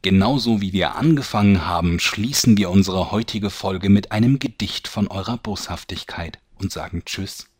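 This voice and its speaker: A man's voice: sits low, normal speed, few pauses, husky, dry recording, studio quality. A man playing the wry narrator of a fantasy parody audio drama, presenting it like a showman.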